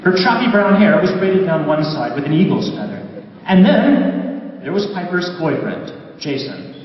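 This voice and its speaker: A man, an author reading a story aloud with expressive, dramatic narration, recorded from a distance in a large reverberant room. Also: high-pitched, normal speed. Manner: animated, dramatic storytelling delivery. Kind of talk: live expressive audiobook-style narration of a story. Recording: very echoey hall, far off, noisy, dull.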